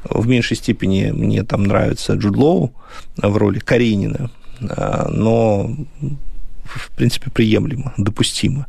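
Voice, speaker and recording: A man's voice: on the low side, fairly even intonation, measured pace, vocal fry, dark tone, husky, dry recording. A man talking on a radio show, thoughtful and a little hesitant. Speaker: radio show guest host. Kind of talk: radio talk.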